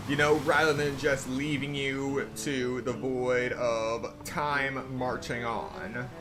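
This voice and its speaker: A man giving live game stream commentary in a deliberate, halting way. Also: mid-range voice, level tone, poor audio. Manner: deliberate, halting phrasing with frequent throat clearing. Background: faint game music.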